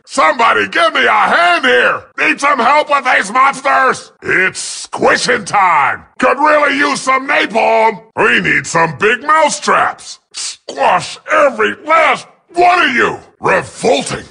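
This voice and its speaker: An actor playing a brash, scrappy robot soldier in a video game, barking punchy combat lines in a strained, raspy voice. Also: male voice, very high-pitched, exaggerated voice. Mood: disgusted. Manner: shouted, punchy battle barks.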